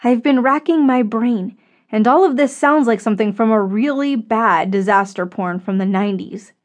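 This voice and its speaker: A woman's voice: fairly high pitch, normal speed, lightly acted. Strong earnest woman, slightly lifted voice, acted by a narrator. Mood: exhausted, confused. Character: strong, tough, emotional woman, fiercely loyal.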